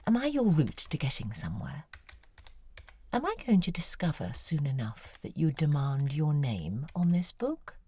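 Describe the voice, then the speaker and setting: An actress voicing a poised, probing woman novelist in an audio drama, speaking in a measured, wry way. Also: low voice, sing-song, dark tone, breathy edge, exaggerated voice. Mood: suspicious. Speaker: author character.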